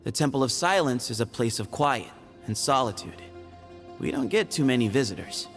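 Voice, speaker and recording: An easygoing young desert man from a video game, played by an actor, mid-pitched and very animated. He sounds playful, sly and unbothered, with faint music behind him. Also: normal speed, close to an everyday voice. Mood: amused, sly. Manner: playful, casual, evasive.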